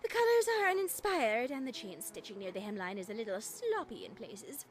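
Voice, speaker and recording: A woman's voice: on the high side, sing-song, a little fast, slight background noise. A woman voicing a distraught, self-doubting young female pony dressmaker in a dramatic cartoon fan fiction reading, fragile and dejected. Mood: doubtful. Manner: fragile, dejected, insisting weakly. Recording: music behind the voice.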